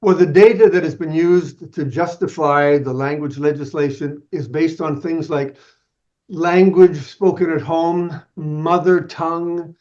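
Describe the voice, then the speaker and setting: Measured male filmmaker on dull audio. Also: a little high, steady tone, darkish tone. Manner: measured, explanatory. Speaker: documentary filmmaker, guest. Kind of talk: interview answers.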